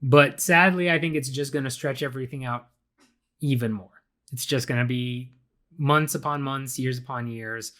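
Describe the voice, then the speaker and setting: A young adult man hosting a solo podcast, talking casually with lots of fillers and restarts, his voice a bit raspy from being ill. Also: mid-range voice, normal speed, sharp tone. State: slightly raspy from illness. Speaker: podcast host.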